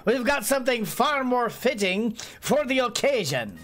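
A man's voice: very high-pitched, very animated, unhurried, fairly bright tone, soft background music, voice pushed up, heavily acted. A man putting on a hammy French-accented inventor. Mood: grandiose. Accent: put-on French.

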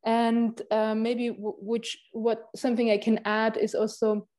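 A young woman from Ukraine, a Russian native speaker, talks about her work in English. Her voice is mellow and sits a little high, and she gropes for words with frequent pauses and restarts. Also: monotone. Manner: thoughtful, halting, many fillers and restarts. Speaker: textile artist. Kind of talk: artist talk.

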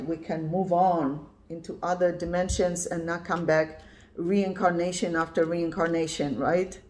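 A woman's voice: lowish voice, a little mellow. A woman in her fifties from Poland giving an intuitive tarot reading, emphatic and repeating "yeah" and "right?" as she talks. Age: 50s. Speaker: tarot reader. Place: indoors at a card table, camera on the cards.

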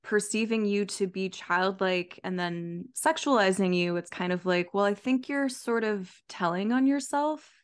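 A woman's voice: medium pitch, measured pace, few pauses, clear, resonant tone. A woman in her thirties hosting a podcast, chatting casually and hesitantly as she searches for words. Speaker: podcast host. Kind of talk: casual chat. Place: room at home, video call.

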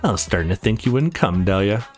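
A man's voice: lowish voice, lively intonation, fast talker, faint music behind, voice pushed down, close to an everyday voice. A man voicing a cocky young male fighter in a game, mocking and smug. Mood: taunting.

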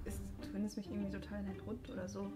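A young adult woman making halting, improvised date conversation in a small studio. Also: medium pitch, completely flat delivery, mellow. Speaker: performer in a comedy dating video. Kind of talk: improvised awkward date chat. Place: small indoor studio set.

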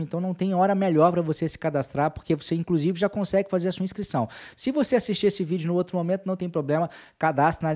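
A man's voice: fairly high pitch, dark tone. A man in his forties with a Brazilian accent, explaining a lesson conversationally as an exam prep instructor, speaking into a close desk microphone. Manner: conversational, frequent "né" tags. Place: small home office, indoors.

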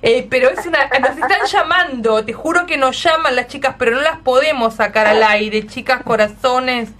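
A woman's voice: high-pitched, moderate pace, fairly bright tone. A woman with an Argentine accent hosting an unscripted radio chat in a gushing, effusive manner. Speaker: radio host.

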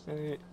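A young man gaming online with friends, prone to confused outbursts and laughing fits on voice chat. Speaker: gamer. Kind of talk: casual gaming banter.

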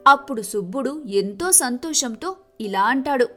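A woman tells a scripted folk tale in a high-pitched voice. Her tone is bright and her delivery is expressive, with faint music underneath. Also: moderate pace. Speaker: story narrator.

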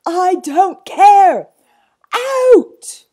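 A woman's voice: lively intonation, drawn-out words, warm tone, exaggerated voice. A woman voicing a pompous, furious male zoo owner who bellows and blusters indignantly in a storybook reading. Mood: enraged. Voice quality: booming, bellowing. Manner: blustering, indignant, emphatic questions.

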